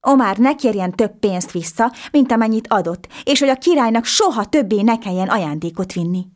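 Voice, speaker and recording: A woman's voice: animated, darkish tone, natural voice. A woman voicing an earnest little boy. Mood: pleading. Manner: high, pleading, quick questions.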